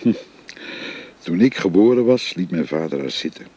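An actor playing an older father who gently confides his family history to a young woman, in a radio drama. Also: sits low, lively intonation, moderate pace, husky, highs cut off. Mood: gentle, confiding. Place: recorded on location.

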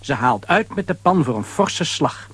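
A man narrating a children's audio drama as an animated storyteller. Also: fairly high pitch, moderate pace, slightly rough, no echo.

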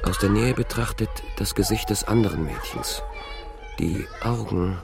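Haunted man, deep gravelly voice, brooding radio-play monologue, music behind. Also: normal speed. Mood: haunted, absorbed. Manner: brooding, fragmentary, introspective. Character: haunted man troubled by intrusive, unexplained memories. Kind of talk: radio drama interior monologue.

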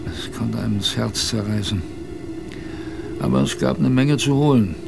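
A gravelly, wry polar survivor narrating. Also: male voice, fairly low pitch, big pitch swings, normal speed, loud music behind the voice, full character voice. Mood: wry, reminiscing. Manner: wry, colloquial storytelling. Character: plainspoken male polar expedition survivor recalling hardship, wry. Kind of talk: conversational radio drama narration.

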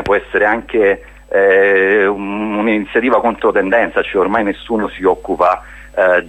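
A man's voice: sits low, a bit bright, breathy edge. A man speaking as a guest in a radio interview, explaining a project in an earnest way. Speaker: guest, spokesman of a hiking association.

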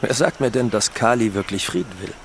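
An actor playing a defiant, self-assured drug cartel boss in a German radio docudrama, with a threatening, declamatory delivery. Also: male voice, medium pitch, lively intonation, fairly quick, vocal fry, slight background noise. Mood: cold, confident. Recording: voice over prominent guitar music. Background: guitar music.

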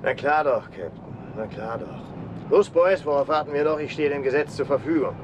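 An actor playing a gruff, cool-headed bomb expert with dark humour, terse and salty, in a radio drama. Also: male voice, a little high, moderate pace, dull audio, natural voice. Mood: bitter bravado. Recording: 1970s radio play with clear background noise.

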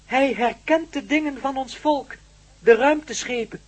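An actor playing a grave alien being in a radio play, speaking in a formal, precise way. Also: high-pitched. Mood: intent. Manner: formal, measured, matter-of-fact explaining. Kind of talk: Dutch radio play acting.

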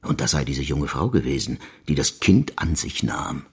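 Very husky, low-voiced police inspector. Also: male voice, very animated, moderate pace, exaggerated voice. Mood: insistent, pressing. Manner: confronting, insistent interrogation. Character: blunt, probing male chief inspector from Vienna. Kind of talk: radio crime drama acting.

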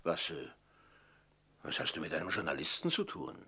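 A proud old grandfather with a very sharp, forceful voice and a husky edge. He is stubborn and combative, declaiming in wide, animated swings as in a radio drama. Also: rumbling low, normal speed, full character voice. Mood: gruff. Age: older. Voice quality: gravelly, husky. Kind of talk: German radio play acting.

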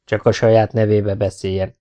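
A man's voice: fairly low pitch, little pitch movement, warm tone, close to an everyday voice. A man reading an audiobook, voicing a wounded, stubborn commando who speaks tersely and halts when moved.

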